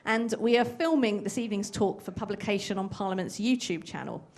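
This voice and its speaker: A woman's voice: medium pitch, darkish tone. A woman archivist welcoming an audience, going through housekeeping notes and introducing the speaker in a businesslike way. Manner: brisk, businesslike announcing. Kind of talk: formal event introduction.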